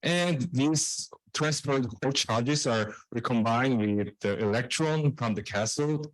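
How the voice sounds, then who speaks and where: A man giving a technical research presentation in a halting way, repeating and restarting phrases. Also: medium pitch, no room sound, rough recording. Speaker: researcher presenting own work.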